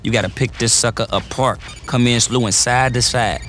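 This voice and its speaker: A man's voice: mid-range voice, steady tone, moderate pace, a bit bright, slight background noise, natural voice. An actor playing a casual, slangy male tactical adviser who trades wisecracks while giving advice in a game cutscene. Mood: wry. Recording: music bed under the voice.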